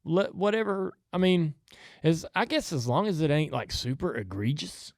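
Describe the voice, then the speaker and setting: Man, Southern US accent, fairly high voice, dry close sound, rambling casual podcast talk. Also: expressive, moderate pace, no room sound. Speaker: podcast host. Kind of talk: casual chat about racing.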